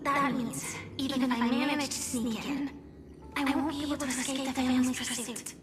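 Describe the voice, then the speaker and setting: A woman playing a gentle, determined young female warrior in a video game, earnest and soft-spoken. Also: high voice, steady tone, moderate pace, over music, lightly acted. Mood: determined, planning.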